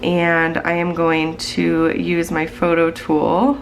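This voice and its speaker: A woman's voice: fairly low pitch, monotone, takes it slowly, slight background noise. A woman with a stuffed-up, congested voice, chatting casually as she plans on her tablet and thinks aloud while she works. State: congested from the flu. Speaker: planning channel host.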